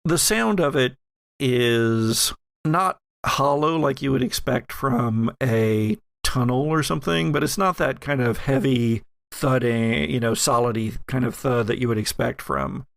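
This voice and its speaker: A man working as game master, improvising descriptive narration for a tabletop horror game in a halting, self-correcting way. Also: mid-range voice, gravelly, fairly bright tone, no room sound, clean studio sound.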